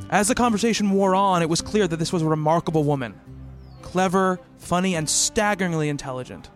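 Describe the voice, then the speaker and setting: A man acting in a radio play as an earnest psychiatrist who can be awkward and halting. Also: high-pitched, natural voice. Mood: wistful, reflective. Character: earnest male psychiatrist, haunted narrator and shy awkward suitor.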